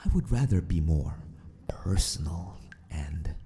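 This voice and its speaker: A man acting a sadistic, possessive mad scientist in an ASMR roleplay, speaking with formal, mock-polite theatricality and often slipping toward a breathy whisper. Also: deep voice, expressive, a little slow, warm tone, a little hiss or hum. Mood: sadistic, gloating. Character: sadistic, possessive male mad scientist of an empire, mockingly courteous. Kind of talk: ASMR villain roleplay monologue.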